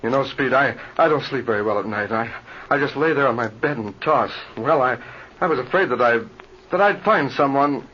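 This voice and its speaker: An actor playing a nervous, suspicious pilot with halting, fretful speech in an old-time radio adventure serial. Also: male voice, medium pitch, lightly acted. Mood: startled, uneasy. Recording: dull, noisy old radio serial recording.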